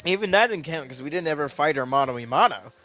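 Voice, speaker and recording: A man giving casual live reaction commentary as a gaming streamer, excitable, repeating himself as he thinks aloud. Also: on the high side, fast talker, poor audio.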